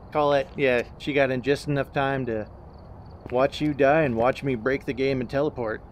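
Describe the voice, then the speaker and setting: A man's voice: medium pitch, fairly even intonation, moderate pace. A man chatting casually and informally as he streams a horror game, swearing and joking. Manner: informal, profane, jokey. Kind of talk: casual chat while playing a horror game. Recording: clear background game noise.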